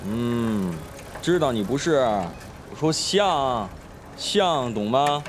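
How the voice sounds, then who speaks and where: A man's voice: medium pitch, expressive, takes it slowly, a little hiss or hum. A male actor playing a brash, foul-mouthed, teasing teenage schoolboy in an audio drama, in slangy exclamations. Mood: bossy, teasing. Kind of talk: Chinese audio drama acting.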